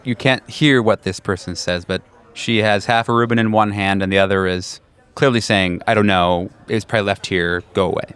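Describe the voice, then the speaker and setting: A man's voice: on the low side, steady tone, a little fast, a bit bright, clear, resonant tone. A man narrating a tabletop horror game as its game master and host.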